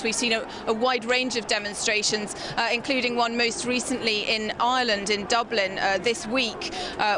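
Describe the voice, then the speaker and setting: A woman's voice: fairly high pitch, background noise. A woman reporting live from a city street at night into a handheld mic, fluent but dotted with fillers and restarts. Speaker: field reporter.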